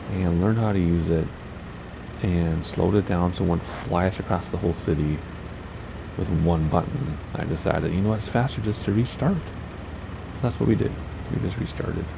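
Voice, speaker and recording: A low, gravelly man talks his way through a flight sim run, chatty and thinking aloud as he goes. His voice is raspy, and a steady hiss of noise sits under the recording. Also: moderate pace. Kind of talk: casual gameplay commentary.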